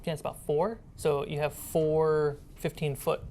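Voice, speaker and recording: A woman's voice: low-pitched, little pitch movement, unhurried, a little hiss or hum. A woman running a tabletop game as game master, explaining and narrating in a casual, improvised way. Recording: loud music behind the voice. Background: loud background music.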